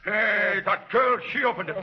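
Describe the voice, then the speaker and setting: An actor playing a ruthless, commanding villain in a 1930s radio drama. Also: male voice, sits high, normal speed, lightly acted. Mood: suspicious, angry. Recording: muffled old 1930s radio recording.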